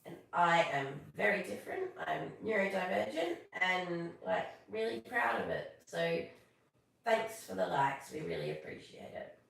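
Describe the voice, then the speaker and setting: An Australian woman hosting a live stream, moving between casual chat and expressive storybook reading. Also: on the low side, unhurried, vocal fry. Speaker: live stream host reading bedtime stories. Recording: echoey room, distant from mic.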